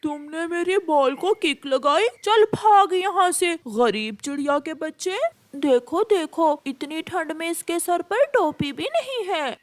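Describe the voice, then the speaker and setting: A woman voicing a mocking, bullying young bird in a children's cartoon, loud and taunting. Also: very high voice, lively intonation, slow pace, no room sound, heavily acted. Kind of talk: Hindi children's cartoon dialogue.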